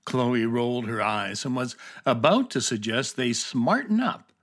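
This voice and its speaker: A man's voice: medium pitch, expressive, a little slow, a bit bright. A man narrating a scripted children's adventure story.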